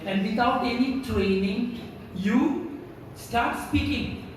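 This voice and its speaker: A man's voice: very high-pitched, takes it slowly, slight background noise. A man from Kerala with an Indian English accent and Malayalam as his first language, giving a classroom lecture and quizzing students with repeated key words. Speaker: visiting professor. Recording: echoey room, mic far off.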